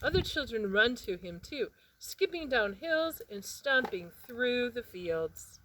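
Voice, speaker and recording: A woman narrating a children's picture book expressively, with dramatic pauses and emphasis on words. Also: on the high side, sing-song, fairly bright tone, slight background noise, poor audio. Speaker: read-aloud storyteller.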